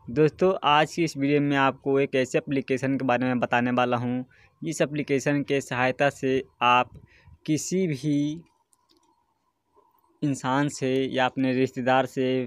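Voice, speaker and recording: A man's voice: mid-range voice, clean tone, dry recording. A man presenting a casual step-by-step tutorial as host, often adding a short check-in tag. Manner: repeatedly checks in with a tag phrase.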